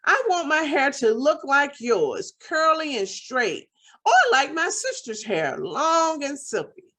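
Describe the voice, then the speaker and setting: A woman's voice: high voice, huge pitch swings, takes it slowly, a bit bright, pitched above the performer's own voice, exaggerated voice. A woman voicing a sad seven-year-old girl who pleads in a whiny, tearful way. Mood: upset.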